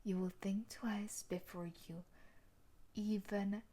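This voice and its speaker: A woman's voice: mid-range voice, little pitch movement, slow pace, mellow. A woman playing a sadistic, possessive young student bully in an intimate audio roleplay, taunting and menacing, slipping into whispers. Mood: gloating.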